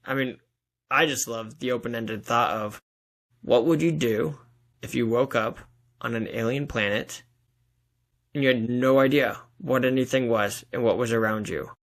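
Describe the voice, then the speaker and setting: A man's voice: medium pitch, normal speed. Young man telling stories in casual chat. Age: 30s. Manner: animated storytelling, acts out imagined dialogue, frequent "like".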